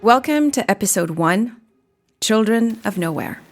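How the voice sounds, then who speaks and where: Documentary host, female, mid pitch, measured narration over a strong music bed. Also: expressive. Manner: measured, reflective, posing questions. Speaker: journalist and podcast host.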